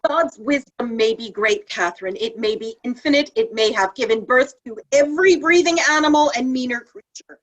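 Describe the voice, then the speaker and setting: A woman's voice: on the high side, normal speed, hardly any pauses, lightly acted, low-quality recording. A woman playing an outspoken, indignant saint in a play reading, emphatic and challenging in her delivery. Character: outspoken, indignant female saint doubting heaven's plan. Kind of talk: virtual table read of a stage play.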